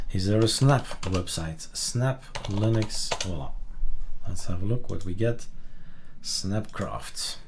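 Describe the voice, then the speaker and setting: A man with a low voice talks slowly through a casual screen-capture tutorial. He thinks aloud in short, muttered fragments, with some background noise and the clatter of typing and clicks. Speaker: tutorial host. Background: keyboard typing, mouse clicks.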